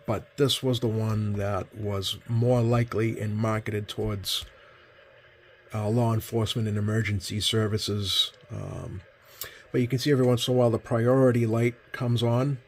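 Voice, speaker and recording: A man's voice: medium pitch, darkish tone. A man giving a casual, hands-on product demonstration as a host, hesitant and rambling, often correcting himself. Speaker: host, CB radio collector. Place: indoors at a workbench.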